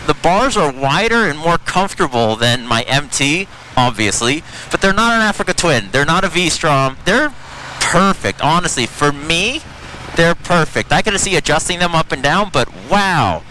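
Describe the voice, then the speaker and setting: A man's voice: fairly high pitch, big pitch swings, normal speed, sharp tone, rough recording. An American man in his thirties giving rambling, self-deprecating commentary while riding a motorcycle through city traffic, recorded on a helmet mic. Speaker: motorcycle vlogger. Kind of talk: casual ride-along commentary. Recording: faint road and engine noise. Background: traffic and engine noise.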